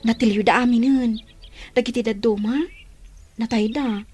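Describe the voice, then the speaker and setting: An actress playing a brisk, excitable villager in a radio drama. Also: female voice, on the high side, soft background music, natural voice. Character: brisk excitable villager reporting news of rebels. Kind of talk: Ilocano radio drama acting.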